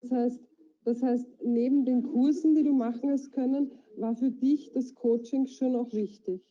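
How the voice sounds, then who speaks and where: A woman interviewer asks short, direct questions in a fairly high voice. Her audio is dull and lo-fi, like an online call. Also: fairly even intonation, normal speed, dark tone. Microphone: video call.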